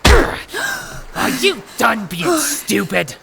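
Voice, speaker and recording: A menacing pirate captor acted out for an audio drama, very slow and gravelly. The pitch swings wildly, and clear ambient noise sits behind the voice. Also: wildly swooping pitch, a bit bright, heavily acted. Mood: pained, angry. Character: menacing, easily hurt male pirate captor.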